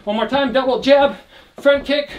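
Energetic young man coaching kickboxing. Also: very high-pitched, moderate pace. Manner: calls out combos while demonstrating, energetic. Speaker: kickboxing instructor. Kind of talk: workout instruction. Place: indoor room with trophy shelves.

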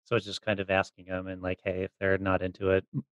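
Low-voiced man hosting, very dry sound. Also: completely flat delivery, quick pace, a bit bright, dry recording, muffled recording. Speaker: podcast host, interviewer. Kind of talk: casual chat interviewing.

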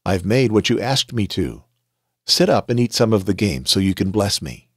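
A man's voice: fairly low pitch, very animated, moderate pace, no room sound, studio quality. A man reading aloud the words of a younger son who deceives his father in a scripture reading. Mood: calm.

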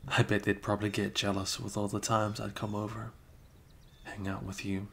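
Man acting as low-voiced, bashful male best friend. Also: flat delivery, gravelly, a bit bright, a little hiss or hum. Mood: gentle, caring. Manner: halting, bashful, chuckling, casual bro talk. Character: flustered, caring young male best friend hiding a crush. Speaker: ASMR roleplay performer. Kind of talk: improvised intimate ASMR roleplay.

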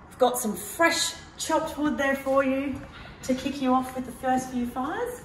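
A woman's voice: sits high, fairly even intonation, unhurried, a little hiss or hum. A woman, walking through a house and pointing out its features in an upbeat way. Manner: upbeat, pointing things out as she walks. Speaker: prize home tour presenter. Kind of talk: walk-through house tour. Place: large empty modern house, indoors and on a deck. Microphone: handheld camera following her at a distance. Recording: echoey rooms, voice a bit far from the mic.

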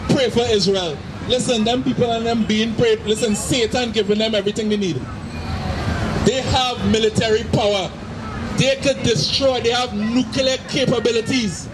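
A middle-aged man with a Trinidadian accent, preaching forcefully outdoors into a handheld mic and repeating his points. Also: extremely high pitch, fairly even intonation, normal speed. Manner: forceful, repetitive, shouting at times. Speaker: street preacher. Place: city street outdoors, crowd around. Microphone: handheld mic through a loudspeaker. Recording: noisy street with crowd.

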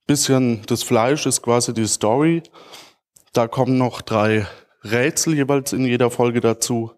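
A man presenting informally as a conference speaker, somewhat hesitant and searching for words as he goes. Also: medium pitch. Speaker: conference speaker, podcast creator. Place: large auditorium stage.

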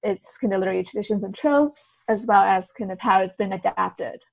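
A woman's voice: medium pitch, brisk, vocal fry. Woman guest, slightly dull lo-fi sound. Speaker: podcast guest, writer and translator. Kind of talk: conversational interview answers.

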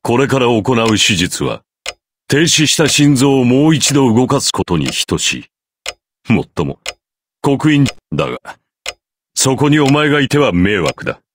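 An actor playing a cold, imposing middle-aged priest in an anime visual novel, speaking in a measured, condescending way. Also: male voice, medium pitch, big pitch swings, a little hiss or hum. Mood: cold, dismissive.

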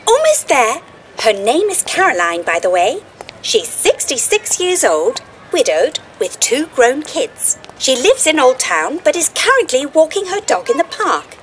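Audio play: an eager little fairy assistant, theatrical, high-pitched and extremely expressive. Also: female voice, a little slow, slight background noise, heavily acted, low-quality recording. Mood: briskly helpful. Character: Una, perky tiny female fairy AI assistant. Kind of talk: audio drama acting.

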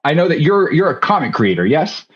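A man's voice: fairly high pitch, very animated, brisk, dull audio. A man, hosting a casual interview over a video call. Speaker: host. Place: small home room, video call.

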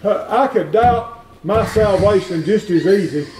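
A man's voice: sits high, measured pace, recorded from a distance, a little hiss or hum. Give me a middle-aged man preaching at a revival, recorded from across an echoey church. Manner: folksy, plain-spoken, rises to shouting. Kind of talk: revival sermon. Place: wood-paneled church sanctuary. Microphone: far room camera. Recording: echoey church heard from afar.

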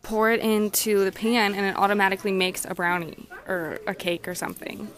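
A woman's voice: medium pitch, steady tone, fairly bright tone. Giggly young woman vlogging while shopping. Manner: giggly, chatty, quick to laugh. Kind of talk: casual shopping vlog chat. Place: large store indoors. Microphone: handheld camera.

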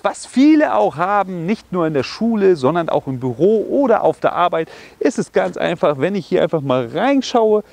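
A man in his thirties, a little hoarse from a cold, talks an outdoor self-defense lesson through with plenty of energy. His voice sits a touch high, and he throws in bursts like "boom" and "bam" as he demonstrates. It is picked up on the camera from a few steps away in an open park. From Germany. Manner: energetic, explains with sound words like boom and bam. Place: outdoors on a grassy park slope.

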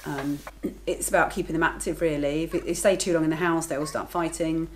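A woman's voice: sits low, steady tone, brisk, a little hiss or hum, poor audio. A woman in her thirties talking casually to the camera in her home kitchen, matter-of-fact and chatty. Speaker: vlogger, lifeguard and working mother.

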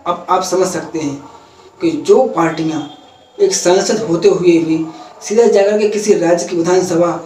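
A young man presenting a news explainer to camera, in an earnest, steady way, sometimes correcting himself mid-sentence. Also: sits high, moderate pace. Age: young adult. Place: indoor room, parliament backdrop added behind. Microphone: camera a few feet away, no mic in view. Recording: echoey room, voice a bit far from mic, faint noise.

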